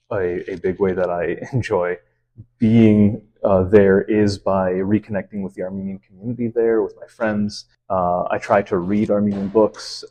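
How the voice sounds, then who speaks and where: A man who teaches math, answering interview questions in a relaxed, friendly way with many fillers. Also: fairly low pitch, slight background noise, low-quality recording. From Lebanon.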